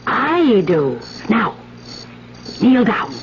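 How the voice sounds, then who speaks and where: A woman's voice: on the high side, sing-song, extremely slow, exaggerated voice. An actress playing an older village witch, sly, fervent and commanding, in an incantatory 1970s radio drama style. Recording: muffled, noisy 1970s radio broadcast.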